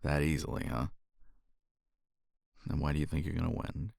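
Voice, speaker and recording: Very deep, gravelly, cocky flirt acted by a man. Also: little pitch movement, a little mellow, no room sound, clean studio sound, heavily acted. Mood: confident, teasing. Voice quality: gravelly, husky, breathy. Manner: teasing, unhurried, murmuring with sighs and pauses. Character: cocky, flirtatious male competitor teasing a rival. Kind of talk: intimate romance ASMR roleplay. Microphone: close microphone.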